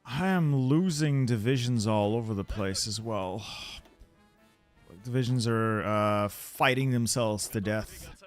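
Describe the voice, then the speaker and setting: A young man whose first language is Dutch, talking his way through a strategy game in a slow, unhurried drawl. He grumbles and curses at his losses, half to himself, at a middling pitch, with faint music playing underneath. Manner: frustrated, swearing, muttering to himself. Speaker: gaming YouTuber.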